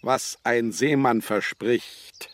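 An actor in a children's radio play playing a sly, worldly-wise older seafarer who teases and needles in sayings. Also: male voice, mid-range voice, fairly even intonation, slow, close to an everyday voice. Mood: mocking. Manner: teasing, needling, theatrical, speaks in sayings.

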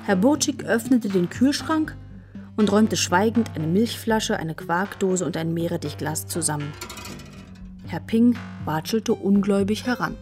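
A woman as the calm, measured, deadpan narrator of a German children's radio play. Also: mid-range voice, faint music behind, close to an everyday voice. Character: calm female storyteller of a children's tale. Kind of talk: scripted narration.

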